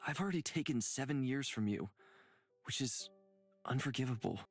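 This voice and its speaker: An actor playing a gentle, reflective man weighed down by guilt, speaking in a measured, earnest way for a visual novel game. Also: mid-range voice, lively intonation, breathy edge, faint music behind. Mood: tender.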